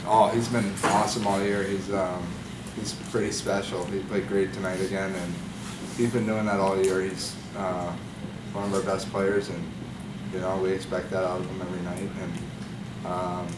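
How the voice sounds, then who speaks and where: Low-voiced young man in echoey room. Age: young adult. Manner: relaxed, halting, many fillers. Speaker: college hockey defenseman. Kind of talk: post-game press conference answers. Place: press room with backdrop. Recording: echoey room, far mic, loud hum.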